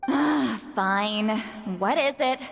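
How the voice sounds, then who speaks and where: A woman's voice: on the high side, very slow, vocal fry, exaggerated voice. An actor, a woman, playing a proud, blunt warrior in a video game, talking in curt, challenging phrases as a loyal but reluctant friend. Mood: impatient, challenging. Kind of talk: dramatic video game voice acting. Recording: long reverb, faint music behind.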